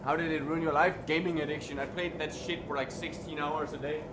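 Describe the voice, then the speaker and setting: A young man with a Danish accent chats loosely and candidly, rambling a bit and swearing freely. His voice sits a little high. He sounds like he is in a roomy, echoing workshop with a steady air-conditioning hum behind him. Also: a little fast. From Denmark. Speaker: streamer and metalworker. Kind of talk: casual chat while working on stream. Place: indoor workshop.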